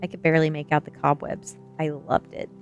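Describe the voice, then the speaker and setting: A woman with a fairly low voice reads a story aloud as an ASMR streamer. Her narration is expressive, with key words stretched for emphasis, and soft music plays behind her. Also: brisk, dull audio. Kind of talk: ASMR reading of a young adult novel, storytelling narration.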